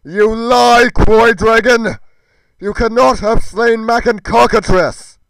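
Bellowing, high-pitched villain warrior. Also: male voice, fairly even intonation, slow pace, vocal fry, no echo, heavily acted, poor audio. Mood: furious. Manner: pompous, shouting, speaks of himself in third person. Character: boastful, belligerent aging warrior villain, loud and bellowing. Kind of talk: theatrical fantasy roleplay acting.

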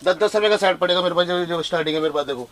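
Fast, high-voiced man rattling off prices. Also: a bit bright. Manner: rapid, repetitive price-counting patter. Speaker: wholesale shop owner. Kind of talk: sales pitch, listing prices. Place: small indoor shop crowded with shelves. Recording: noisy shop recording.

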